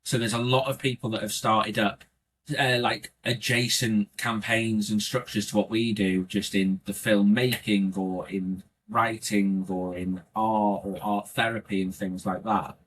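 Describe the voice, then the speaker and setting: North welsh guy, low voice, earnest and thoughtful, keeps restarting sentences on a podcast. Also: little pitch movement, measured pace, fairly bright tone, a little hiss or hum. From North Wales. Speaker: indie filmmaker guest. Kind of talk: casual podcast interview.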